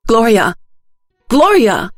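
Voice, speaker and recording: A woman's voice: on the high side, sing-song, slow, no echo, clean studio sound, voice pushed up, heavily acted. A woman playing a firm, urgent, commanding woman. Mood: urgent, shouting. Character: Anne, commanding, urgent woman keeping a friend in line.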